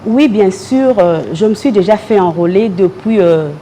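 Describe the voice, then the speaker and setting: Mellow Congolese woman in a political interview. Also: medium pitch, expressive, moderate pace, slight background noise. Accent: Congolese French. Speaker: political party member, guest.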